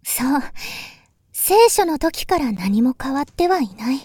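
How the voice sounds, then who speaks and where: A woman playing a polite, earnest younger sister in a voice drama, speaking formally and gravely. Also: sits high, very animated, dark tone, dry recording. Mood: earnest, solemn. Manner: formal, deferential, measured. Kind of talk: Japanese voice drama acting.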